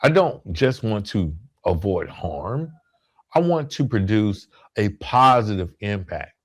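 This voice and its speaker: A man's voice: fairly low pitch, sing-song, slow pace. A man hosting a solo podcast, explaining his points in an emphatic, rhetorical way and repeating key phrases for weight. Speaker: podcast host.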